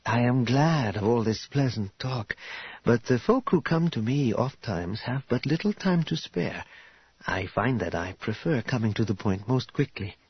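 Man voicing a formal, self-assured noblewoman, measured and husky. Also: medium pitch, lively intonation, no room sound, muffled recording, heavily acted. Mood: earnest. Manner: measured, archaic formal phrasing. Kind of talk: audiobook character voice.

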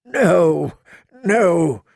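A man reading an audiobook, voicing a pleading aging male knight who talks haltingly and earnestly, sometimes stammering. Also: fairly high pitch, expressive, gravelly, darkish tone, no room sound, pitched above the performer's own voice, full character voice.

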